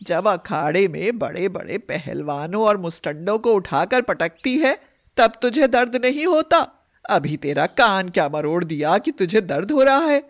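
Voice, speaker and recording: A woman voicing a scolding, exasperated mother of grown daughters in an animated moral story, nagging and sarcastic. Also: mid-range voice, measured pace.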